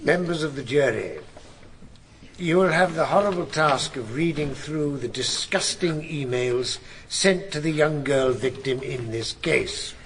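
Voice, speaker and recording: A man acting a pompous English judge in a radio drama. His voice is fairly high for a man, formal and pedantic with stern disapproval, over faint background ambience. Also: a little hiss or hum, natural voice. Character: square-jawed, relentlessly pedantic, disapproving male judge. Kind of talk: radio drama courtroom dialogue.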